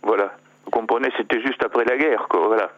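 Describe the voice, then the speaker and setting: Older man rambling down a muffled phone line. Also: on the low side. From Normandy, France. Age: 70s. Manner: halting, many restarts and fillers, chuckles. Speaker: sculptor guest. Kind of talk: casual interview over the phone. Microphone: telephone line.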